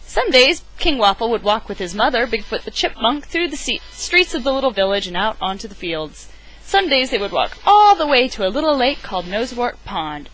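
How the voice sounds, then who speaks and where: A woman reads a children's picture book aloud in a dramatic, emphatic way. Her voice is on the high side and bright, with very animated intonation. She sounds like an enthusiastic amateur storyteller. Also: fairly quick, poor audio. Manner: theatrical, emphatic delivery. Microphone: webcam microphone.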